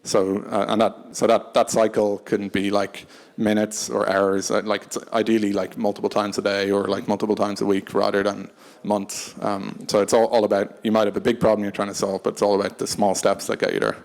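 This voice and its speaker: A man speaking unscripted on a discussion panel, hesitant and halting, often restarting his phrases. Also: fairly low pitch, flat delivery, creaky. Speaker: panelist from a tech company.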